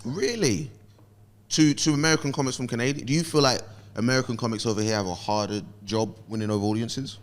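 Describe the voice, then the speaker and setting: A young man with a London accent, a guest comedian in relaxed conversation, laid-back and quick to laugh. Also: mid-range voice. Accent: London English. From England. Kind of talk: casual chat.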